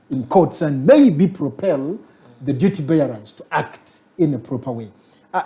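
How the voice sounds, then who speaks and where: Mellow Ugandan talk show host. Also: male voice, fairly high pitch. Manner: drawn-out, winding questions.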